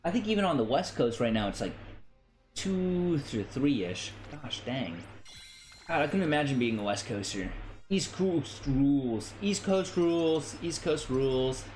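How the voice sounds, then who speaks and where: A young American man chatting casually on a game stream, animated and playful, often stammering and repeating phrases. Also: medium pitch, a little slow, slight background noise. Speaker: livestreamer, VTuber.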